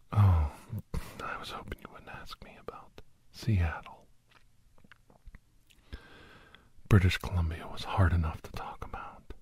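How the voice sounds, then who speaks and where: A man playing a weary, confiding doctor who hunts shapeshifters, telling his story in a hushed, halting, breathy ASMR style. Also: low-pitched. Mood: gentle, concerned. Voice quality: breathy, often whispered.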